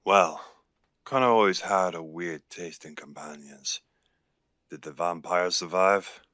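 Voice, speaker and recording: A man playing a guarded, seasoned werewolf pack leader with an Irish accent in an audio roleplay, speaking in a measured, grave way. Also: low-pitched, fairly bright tone, no echo. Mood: wary, questioning.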